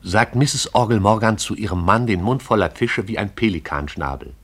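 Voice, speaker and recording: A man narrating a radio drama in a measured, lyrical style. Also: lowish voice, lively intonation.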